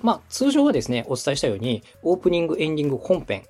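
A man's voice: a little high, huge pitch swings, racing, darkish tone, breathy edge, soft background music. A man giving structured, polite advice as a radio director coaching a podcaster, methodical and instructive. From Osaka, Japan. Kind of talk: structured advisory talk, polite explanation.

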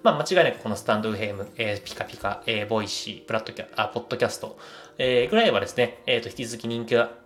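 A man hosting a solo audio show, explaining things casually in halting, hedging phrases. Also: mid-range voice, a bit bright. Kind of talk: casual solo podcast talk.

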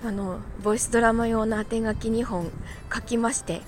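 A woman chatting casually on her own like a morning podcast host, talkative and quick to laugh. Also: a little high, steady tone, darkish tone, slight background noise. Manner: chatty, laughs easily while talking. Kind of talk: casual solo morning chat.

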